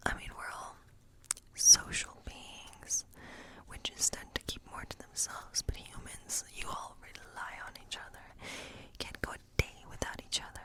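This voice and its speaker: A friendly woodland witch, played by a woman, whispers her way through an ASMR tarot role play. Her voice is all soft breath with no tone behind it. She rambles gently and lingers over phrases as she goes. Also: measured pace, close to an everyday voice, low-quality recording. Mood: musing, playful.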